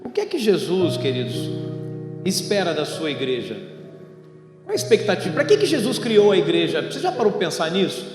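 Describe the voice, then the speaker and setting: High-pitched Brazilian preacher man in echoey hall. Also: wildly swooping pitch, a little fast. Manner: didactic, asking rhetorical questions and addressing the congregation directly. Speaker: pastor, preacher. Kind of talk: evangelical church sermon with storytelling and Bible reading. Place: church hall, preaching over a sound system. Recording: echoey hall with long reverb, music behind.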